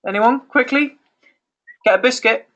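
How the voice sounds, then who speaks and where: A man hosting a casual conference call, talking in a halting way and repeating phrases as he goes. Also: very high-pitched, fairly bright tone, dull audio. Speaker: call host.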